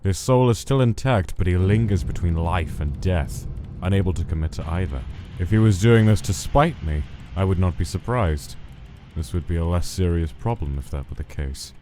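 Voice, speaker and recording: Man voicing a cold, deep skeleton scientist. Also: animated, moderate pace, warm tone. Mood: brooding. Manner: grave, clipped, formal, commanding. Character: cold, stern, guilt-weary skeleton scientist monster, adult male. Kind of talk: dramatic comic dub acting. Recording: music behind the voice.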